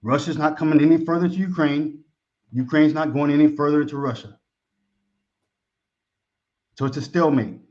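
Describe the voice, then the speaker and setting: A man's voice: on the high side, normal speed, long pauses, a little mellow. A man from Texas with an American accent, talking casually as a guest in a slangy, familiar, talkative manner. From Texas, United States. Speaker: caller, expatriate guest. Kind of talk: casual conversation. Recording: dull lo-fi call audio.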